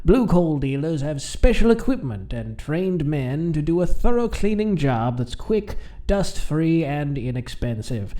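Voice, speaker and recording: A man plays a courteous heating authority reading a vintage radio commercial. His delivery is measured and formal, in a mellow, slightly higher voice. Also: big pitch swings. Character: courteous 1940s radio heating expert pitching coal. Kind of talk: 1940s radio advertisement read.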